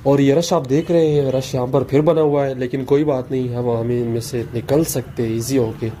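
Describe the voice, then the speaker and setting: A man's voice: mid-range voice, fairly even intonation, normal speed. A young man from Pakistan chatting casually to viewers as he walks through a market, filming himself on a phone held at arm's length. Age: young adult. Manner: chatty, talks to viewers while walking. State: tired. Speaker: vlogger. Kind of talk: casual walking vlog. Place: busy street market outdoors. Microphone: phone camera held at arm's length. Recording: loud street noise on phone. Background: street traffic noise.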